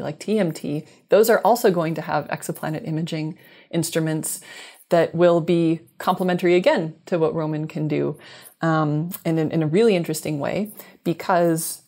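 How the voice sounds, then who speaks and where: Woman in her 30s, low voice, scientist being interviewed on a video call, thinks out loud and explains carefully. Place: small room indoors, video call. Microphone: wired earbuds headset on video call.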